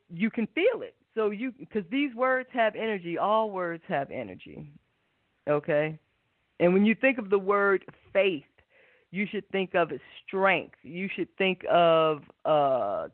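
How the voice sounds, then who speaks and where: A woman's voice: on the low side, no echo. Woman doing guided meditation talk, takes her time, dry sound thru a tinny phone line. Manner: unhurried, reflective, often seeking agreement. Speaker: host, spiritual guide.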